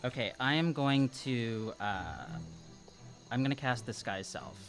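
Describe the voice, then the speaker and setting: A man's voice: mid-range voice, unhurried, a bit bright, faint music behind. A man in his thirties playing a tabletop game, talking casually and thinking aloud as he goes. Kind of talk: casual improvised game talk. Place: small indoor studio at a table. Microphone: close podcast microphone on stand.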